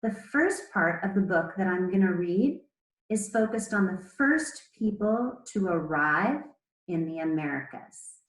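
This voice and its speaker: A woman speaking as an elementary school teacher, reading aloud to children in a measured, explanatory way with pauses between phrases. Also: on the low side, lively intonation, roomy sound, poor audio. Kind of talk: read-aloud lesson to children.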